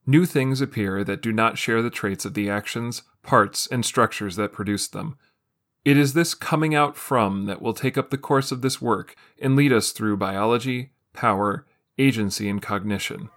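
A man's voice: on the low side, moderate pace, fairly bright tone. A man reading a scripted essay aloud as narration. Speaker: reader of an essay.

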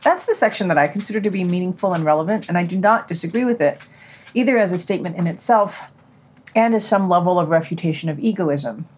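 Husky, low-voiced woman reading news. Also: lively intonation, darkish tone. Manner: deliberate, announces quotations and their ends. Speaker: podcast news reader. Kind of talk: scripted news reading with read-out quotations.

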